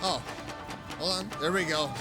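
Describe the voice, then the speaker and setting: A man playing a video game and chatting with excitable, spontaneous reactions as a vtuber. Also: fairly high pitch, moderate pace. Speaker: vtuber gamer. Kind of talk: casual gameplay commentary. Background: game music.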